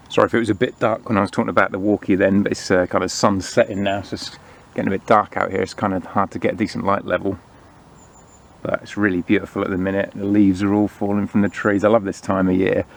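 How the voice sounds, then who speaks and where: Male outdoor vlogger, thirties, fairly low pitch, relaxed unscripted talk into a camera. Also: fast talker, creaky. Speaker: vlogger reviewing outdoor gear. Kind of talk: casual unscripted product review and chat. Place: outdoors in autumn woodland.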